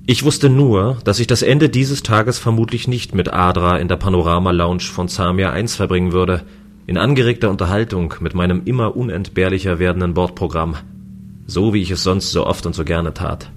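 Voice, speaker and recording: A man's voice: low-pitched, steady tone, normal speed, a little hiss or hum, close to an everyday voice. A man playing a lonely, easygoing space station guard, narrating and speaking in a scripted sci-fi radio play. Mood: wry, reflective. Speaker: voice actor and first-person narrator.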